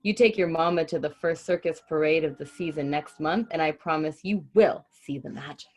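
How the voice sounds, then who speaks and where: A woman voicing a knowing, kindly mother who sews for the circus, in an assured, gentle storybook delivery. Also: low voice, moderate pace.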